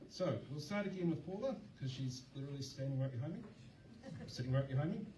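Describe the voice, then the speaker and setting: A man's voice: mid-range voice, lively intonation, fast talker, mellow. A man casually moderating a candidates' forum in a community hall, chatty and joking as he passes the microphone along. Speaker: host and moderator. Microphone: handheld mic passed around, far from the recorder. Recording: echoey hall, distant mic, background hiss.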